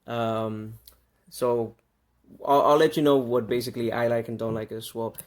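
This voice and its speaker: A man's voice: mid-range voice, level tone, moderate pace. Casual man reviewing a product. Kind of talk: casual unboxing review commentary.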